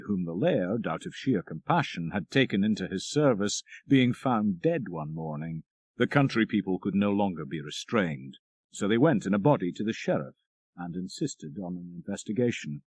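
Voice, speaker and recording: Low-voiced, expressive male storyteller. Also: no echo. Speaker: audiobook narrator. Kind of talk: literary narration of a classic supernatural tale.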